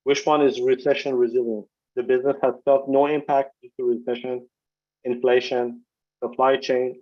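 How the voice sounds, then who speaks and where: A man reading prepared financial remarks as a company executive, formal and measured, on a video conference call. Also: mid-range voice. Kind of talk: scripted corporate earnings presentation. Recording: dull, lo-fi conference call audio.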